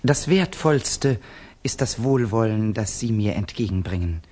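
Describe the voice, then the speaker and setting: An actor playing a reserved young Russian student in a radio drama, speaking in a measured, formal, guarded way. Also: male voice, fairly low pitch, animated, mellow. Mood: deferential, intent.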